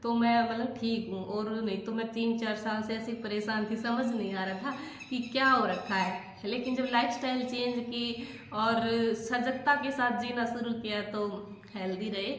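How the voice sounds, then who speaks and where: A woman's voice: a little high, fairly even intonation, normal speed, reverberant, slight background noise. A woman vlogger speaking earnestly to camera, encouraging viewers to stay healthy. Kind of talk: casual talk to camera.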